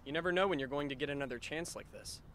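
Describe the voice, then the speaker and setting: A man playing a sardonic, weary male high school student who narrates and talks dryly in an anime fan dub. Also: fast talker, fairly bright tone, slight background noise, close to an everyday voice. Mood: puzzled, casual. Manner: dry, wry, long-suffering.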